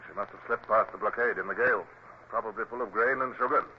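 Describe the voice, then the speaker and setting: A man's voice: on the low side, quick pace, close to an everyday voice. Naval commodore, British male actor: stern, formal, clipped authority, vintage radio sound, dulled with light hiss. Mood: measured. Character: stern, commanding British naval commodore, narrating his own tale. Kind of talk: radio drama acting. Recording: dull, noisy old radio recording.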